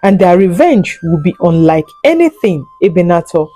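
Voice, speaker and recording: A woman narrating a scripted folk tale in a solemn, deliberate and dramatic storytelling manner. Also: lowish voice, very animated, warm tone, faint music behind.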